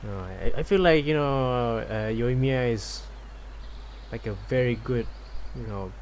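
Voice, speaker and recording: Slow, unhurried male game streamer. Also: medium pitch, a little mellow, a little hiss or hum. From Malaysia. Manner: rambling, laid-back. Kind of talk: casual livestream commentary, reading game dialogue aloud. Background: game music.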